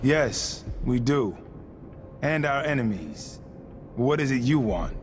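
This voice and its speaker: An actor playing a stern, ambitious vice overseer of a religious order in a video game, measured and politely menacing. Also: male voice, mid-range voice, animated, a little hiss or hum. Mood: guarded, assertive. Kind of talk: dramatic video game dialogue.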